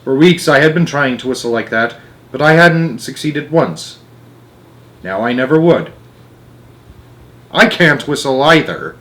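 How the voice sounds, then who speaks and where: A man reads a children's story aloud as an amateur narrator. His voice sits at a middling pitch, and he tells it with animated storytelling delivery. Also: unhurried, pauses often.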